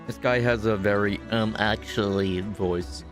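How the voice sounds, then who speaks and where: Male streamer, deep register, casual offhand gameplay asides over background music. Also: steady tone, unhurried. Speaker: streamer reacting to a game.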